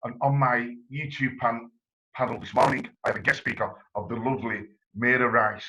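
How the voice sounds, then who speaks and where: A man's voice: mid-range voice, steady tone, normal speed, sharp tone, dull audio. A man from England hosting an online interview, enthusiastic and effusive, with rambling questions. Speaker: interviewer, channel host.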